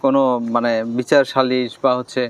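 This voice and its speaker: A man's voice: medium pitch, flat delivery, fairly quick, clean tone. A man with a Bangladeshi accent, interviewing a guest in a conversational style.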